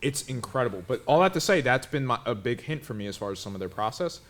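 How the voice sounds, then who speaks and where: A man's voice: medium pitch, expressive, fast, a bit bright. Rambling enthusiastic man explaining. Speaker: reaction video co-host. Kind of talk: casual reaction commentary.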